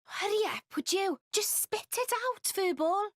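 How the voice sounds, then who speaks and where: A woman's voice: very high-pitched, lively intonation, a little slow, no echo, studio quality. A woman playing an impatient, earnest teenage boy in a game, blunt and full of British slang. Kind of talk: voiced game cutscene acting.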